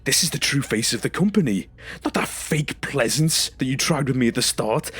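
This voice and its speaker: A man's voice: mid-range voice, moderate pace, fairly bright tone, husky. A man playing a traumatised, confrontational former stasis patient in an audio drama, speaking emphatically and demanding accountability. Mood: bitter, accusing.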